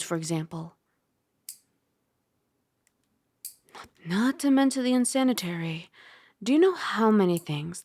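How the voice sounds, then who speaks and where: A woman playing a caring, teasing dragon girl roommate in an ASMR roleplay, soft, chatty and gently instructive. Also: medium pitch, no room sound, clean studio sound, lightly acted. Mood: mild scolding.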